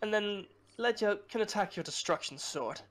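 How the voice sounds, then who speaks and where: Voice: medium pitch, lively intonation, moderate pace, a bit bright, a little hiss or hum. A player talking casually in an improvised gaming roleplay. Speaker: player in a card-duel roleplay. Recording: clear game music behind voice.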